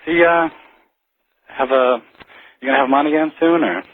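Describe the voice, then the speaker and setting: A man's voice: a little high, normal speed. A man from New England calling a radio show, chatting casually in a nervous, scattered way. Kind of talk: casual phone-in conversation. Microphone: telephone. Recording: tinny, muffled phone line.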